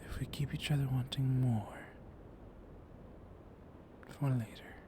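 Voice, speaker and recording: Guy doing a soft dom vampire librarian for asmr, slow and whispery, teasing, rumbly thunder noise behind. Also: steady tone, lightly acted. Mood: teasing, seductive. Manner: soft, hushed, often whispery, teasing and seductive. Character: flirtatious, gently dominant male vampire librarian, long-lived. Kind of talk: ASMR roleplay, intimate monologue to listener.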